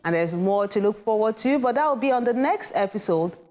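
A woman's voice: mid-range voice, lively intonation, a little fast, loud music behind the voice. A woman presenting a television programme as its host from a script.

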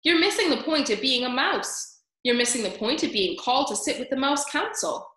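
A woman's voice: sits high, animated, a little fast, fairly bright tone, echoey room, pitched above the performer's own voice. A woman voicing a bossy male mouse, an exasperated older brother who scolds bluntly and insistently in a storybook. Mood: exasperated, scolding.

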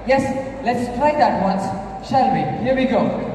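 Male stage performer, jokey crowd-work patter, higher voice, distant echoey mall-hall recording, busy background. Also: a little slow, a little mellow, slight background noise. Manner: showman hyping a crowd, jokey. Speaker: juggling performer. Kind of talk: live stage show patter.

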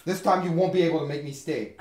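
An actor playing a sleepless, paranoid young man in a horror drama, speaking in a halting, agitated, sweary way. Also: low-pitched, natural voice. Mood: furious. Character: sleepless, paranoid, foul-mouthed young man haunted at home. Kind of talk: found-footage horror film acting, confessional to camera. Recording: roomy echo, faint background noise.

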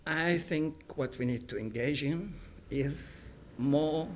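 A middle-aged man, an academic, speaking freely to an audience in a small room, animated and emphatic, with some ums. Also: fairly high pitch, takes it slowly, slight background noise. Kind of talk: public talk, speaking freely. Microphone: stand mic, filmed from the audience.

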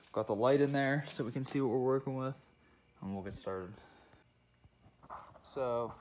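A man's voice: on the low side, slight background noise. A young man casually talking through a car repair step by step in his garage, thinking aloud as he works. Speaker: car DIY channel host. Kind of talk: casual how-to narration while working. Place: home garage, concrete floor.

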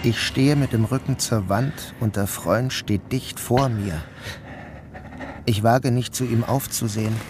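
A man playing an anxious, guilt-ridden narrator who tells his own ordeal in a measured, deliberate radio drama style. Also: mid-range voice, background noise, natural voice. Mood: tense dread. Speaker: narrator and lead actor. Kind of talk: literary first-person radio drama narration.

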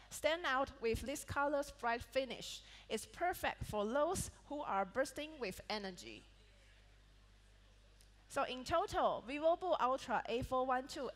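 A woman with a Malaysian English accent, presenting a product keynote on stage and reading prepared points with small slips and restarts. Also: high voice. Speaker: product manager presenting a laptop launch. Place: large event hall on a stage. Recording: reverberant event hall sound.

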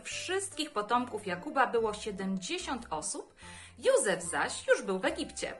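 A woman's voice: medium pitch, pushed and bright. A woman in her thirties reading scripture expressively to children as a storyteller. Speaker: children's Bible story reader.

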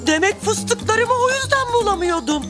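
A woman's voice: very high-pitched, lively intonation, a little slow. A woman voicing a timid, good-natured young elephant in a children's cartoon, with fretful, exaggerated delivery. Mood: upset. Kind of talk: animated children's cartoon dialogue. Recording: music bed behind the voice.

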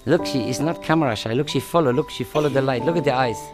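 A chatty man talks playfully in simple, broken English, laughing often. He films himself outdoors on a handheld action camera in a village yard. Music plays softly behind him. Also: medium pitch, fast talker. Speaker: vlog host. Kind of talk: casual vlog chat. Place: outdoors under a shed roof in a village yard.

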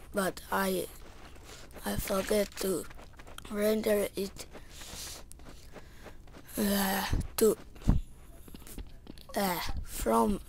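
Woman, Finnish accent, hoarse worn voice, slow halting gamer talk, game noise behind. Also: mid-range voice, a little mellow, poor audio. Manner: halting, searching for words, many fillers. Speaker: gaming channel player. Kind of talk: casual gameplay commentary. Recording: home mic with game gunfire behind.

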